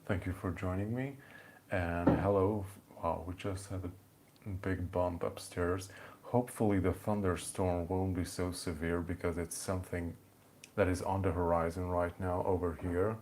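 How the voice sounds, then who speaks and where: Deep-voiced Hungarian man chatting live. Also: little pitch movement, a little slow, a little mellow, slight background noise. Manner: rambling, many fillers and restarts. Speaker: channel host. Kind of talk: casual live-stream chat. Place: home in the evening.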